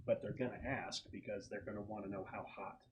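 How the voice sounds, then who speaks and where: A man's voice: on the low side, fairly even intonation, a little fast, very noisy. A man acting as game master, explaining a scenario to players in a conversational way.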